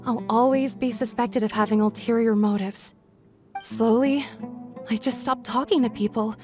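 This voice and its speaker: An actress plays a young village woman with a fairly high voice, guarded and confiding. She speaks hesitantly and with measured care, in video game drama style over faint music. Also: steady tone, warm tone, lightly acted. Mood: wary, sorrowful.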